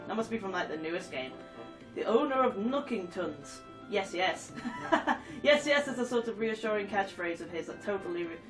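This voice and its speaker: A woman with a stuffy nose from a cold, chatting and giggling as she reads game descriptions aloud. She sits a little back from the mic. Game music plays clearly behind her. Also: mid-range voice, recorded from a distance. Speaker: gaming YouTuber. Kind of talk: casual game commentary, reading trophy descriptions aloud.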